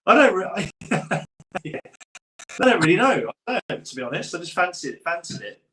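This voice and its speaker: A man's voice: sits high, big pitch swings, normal speed, sharp tone. A man co-hosting a sports podcast in loose, casual chat, laughing often. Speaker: podcast co-host. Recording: roomy echo.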